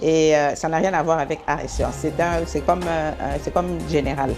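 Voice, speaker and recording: An older woman from Congo speaks in a very low, gravelly voice as a talk-show guest. She recounts her studies and work in a measured way. Faint music plays behind her. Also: fairly even intonation. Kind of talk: interview answers.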